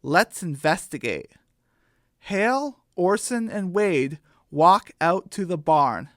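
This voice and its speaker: A woman's voice: on the low side, lively intonation, takes it slowly, no room sound, clean studio sound. A woman narrating and hosting a homemade children's radio play. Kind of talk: children's story narration and host sign-off.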